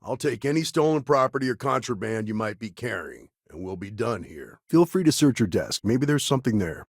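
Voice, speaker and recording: A man playing a level-headed, world-weary lawman ranger in a video game, with a gravelly, husky voice and a firm, plain-spoken way of talking. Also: medium pitch, lively intonation, brisk, no echo, studio quality. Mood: matter-of-fact. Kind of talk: game character dialogue lines.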